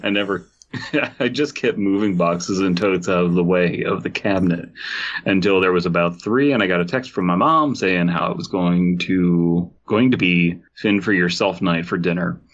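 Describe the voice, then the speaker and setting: A man's voice: deep voice, normal speed, lo-fi sound. A man co-hosting a podcast in casual chat and story reading, blunt, irreverent and profane. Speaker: podcast co-host. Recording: clear background noise with clicks.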